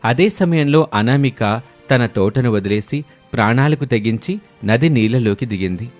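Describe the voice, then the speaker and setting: A man's voice: medium pitch, expressive, moderate pace, soft background music. A man narrating a scripted moral story in the manner of a storyteller.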